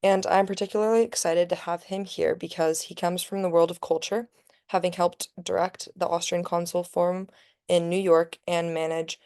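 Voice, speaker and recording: A woman's voice: on the low side, a little mellow, clear, resonant tone. A teenage girl hosting a webinar, reading a prepared introduction of the guest speaker over a video call. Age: teens. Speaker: student host introducing a speaker. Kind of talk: scripted introduction.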